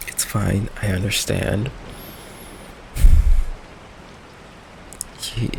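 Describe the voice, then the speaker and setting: Male actor for gentle goth vampire boyfriend, ASMR roleplay. Heavy vocal fry, unhurried, hesitant soft delivery, rain ambience. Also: on the low side, background noise, exaggerated voice. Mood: resigned, hurt. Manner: hesitant, halting, soft-spoken, apologetic. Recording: steady rain ambience behind the voice.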